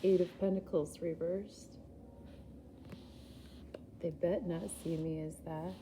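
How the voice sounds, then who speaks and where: A woman's voice: on the low side, fairly even intonation, takes it slowly, background noise. A woman giving a casual, chatty tarot reading, rambling and laughing at herself, a little groggy after a poor night's sleep. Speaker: tarot reader.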